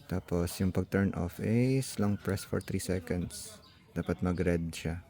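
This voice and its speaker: A man's voice: sits low, takes it slowly, dark tone. A Filipino man giving a casual hands-on gadget walkthrough, thinking aloud tentatively and mixing in English technical words. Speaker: vlogger.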